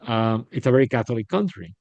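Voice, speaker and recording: A middle-aged man from Puerto Rico, a podcast guest telling stories in a candid, animated way with frequent restarts. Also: mid-range voice, fast, creaky, no echo. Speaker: podcast guest, business leader. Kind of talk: casual interview storytelling. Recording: dull, lo-fi sound.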